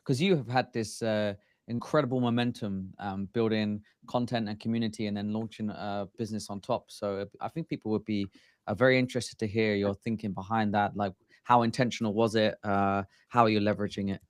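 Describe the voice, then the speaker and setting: Guy hosting a live interview, casual, kinda halting questions, really dry sound no room. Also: fairly low pitch, steady tone, no room sound. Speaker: host, moderator.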